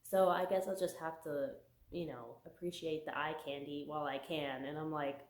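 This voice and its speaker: A woman's voice: low voice, moderate pace, echoey room. Chatty woman with a cold-worn voice. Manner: chatty, many fillers, animated reactions. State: getting over a cold, voice giving out. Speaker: book vlogger. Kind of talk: casual chat.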